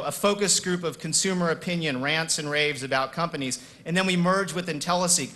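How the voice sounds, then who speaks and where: A man's voice: a little high, sharp tone. A man giving a semi-improvised business conference presentation as a speaker, stressing key points emphatically by repeating words. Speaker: conference speaker, marketing executive.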